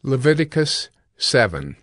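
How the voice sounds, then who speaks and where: A man's voice: mid-range voice, big pitch swings, measured pace, a bit bright. A man narrating and announcing scripture from a script.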